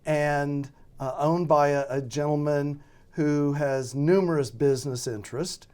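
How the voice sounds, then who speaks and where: An American man being interviewed as a radio drama producer, talking casually and haltingly as he thinks aloud. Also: on the high side, steady tone, a little mellow, clean tone.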